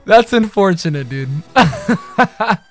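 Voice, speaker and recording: A young man narrating a video game playthrough in a lively, swinging voice that sits a little high for a man. He jokes, swears and yells at the characters on screen, while game music plays softly underneath. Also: slow. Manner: loud, swearing, jokey trash talk with sudden yells. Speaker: gaming channel host. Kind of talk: casual let's play commentary.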